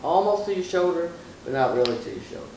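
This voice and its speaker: A woman's voice: fairly low pitch, animated, fast, mellow. Middle-aged woman, rambling casual recollection, repeats for emphasis, roomy distant recording, faint noise. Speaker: mother being interviewed. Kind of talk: casual reminiscing chat.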